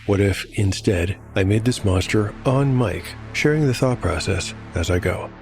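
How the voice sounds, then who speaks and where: A man narrating a solo fantasy role-playing show as game master, in a measured, explanatory scripted style. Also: on the low side, animated, vocal fry. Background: music.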